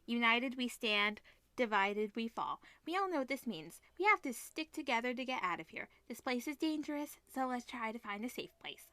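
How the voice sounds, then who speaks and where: An earnest class president in a visual novel, read by a woman, rallying the other students. The voice is bright and a little high, with dry close sound. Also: animated, moderate pace, no echo.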